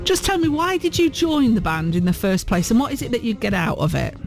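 A man's voice: extremely high pitch, sing-song, brisk, vocal fry, faint music behind. A man interviewing guests on the radio in a chatty, upbeat way, often restarting his questions. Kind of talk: casual broadcast interview.

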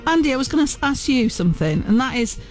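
Male radio interviewer, casual and enthusiastic, highish varied pitch, light music bed. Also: big pitch swings, fast talker. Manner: enthusiastic, encouraging.

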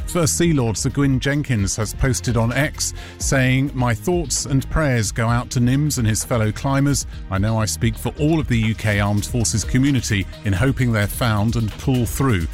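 A man's voice: mid-range voice, normal speed. A man presenting a scripted news bulletin. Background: music bed.

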